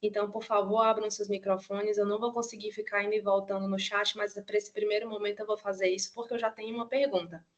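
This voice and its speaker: Flat-voiced woman with a Ceará accent. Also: medium pitch, quick pace, few pauses, muffled recording. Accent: Ceará, Brazil. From Ceará, Brazil. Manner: conversational, many "né" tag questions. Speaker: university nursing lecturer. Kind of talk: online lecture. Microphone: video call.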